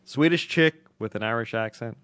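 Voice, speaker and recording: Male co-host, mid pitch, dry-witted banter. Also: a bit bright, clean tone, no room sound. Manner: wry, quick quips. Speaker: podcast co-host. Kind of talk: casual banter.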